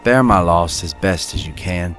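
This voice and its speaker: A man playing a dying young Confederate soldier in a solemn, measured dramatic reading of his last letter home. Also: low-pitched, a bit bright, faint music behind. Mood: solemn, resigned.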